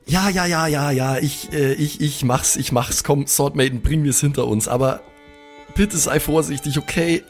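A man's voice: on the high side, normal speed, soft background music. A man playing a tabletop game, speaking in a casual, colloquial, teasing way. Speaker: player in a tabletop role-playing podcast. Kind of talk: casual improvised in-character chat.

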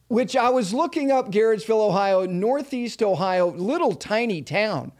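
High-pitched male interview host, emphatic delivery, halting restarts. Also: lively intonation, darkish tone. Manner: emphatic, halting restarts when asking questions. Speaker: podcast host. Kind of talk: interview hosting.

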